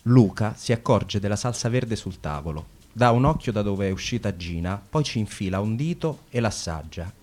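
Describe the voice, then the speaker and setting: A man's voice: fairly low pitch, animated, normal speed, few pauses, a little mellow. A man narrating the stage directions of a radio play in a plain, neutral reading.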